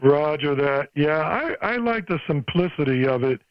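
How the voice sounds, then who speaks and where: A man's voice: a little high, lively intonation, moderate pace, very noisy. An older American man chatting casually as an amateur radio operator over the air. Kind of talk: casual ham radio chat. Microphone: radio microphone. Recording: dull, lo-fi ham radio transmission.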